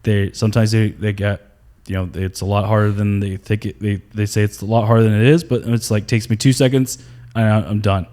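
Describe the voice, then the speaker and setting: A comedian guest with a Southern US accent, from North Carolina, chatting casually on a podcast in a plainspoken, easygoing way. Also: male voice, on the low side, flat delivery, a little fast, warm tone.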